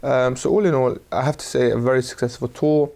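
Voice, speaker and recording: Flat-voiced man recounting a tour. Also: medium pitch, a little fast, vocal fry. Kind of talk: interview recap.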